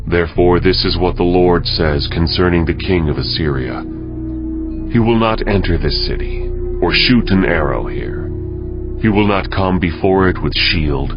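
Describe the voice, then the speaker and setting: A deep, solemn biblical prophet. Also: male voice. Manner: measured, solemn, declamatory. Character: stern male prophet proclaiming God's judgement. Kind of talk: dramatized Bible audio drama. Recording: dull audio with music underneath. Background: music.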